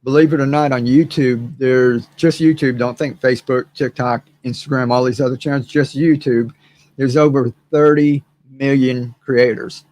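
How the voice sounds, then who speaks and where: A hoarse man hosting a gardening channel, chatting casually and rambling to his viewers with many fillers and repeated words. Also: medium pitch, steady tone, moderate pace, darkish tone. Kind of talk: casual livestream chat to viewers.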